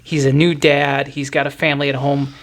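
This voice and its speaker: A man's voice: medium pitch, flat delivery, fairly quick. A man hosting a podcast, talking through music in a casual, explanatory, conversational way. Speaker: podcast host.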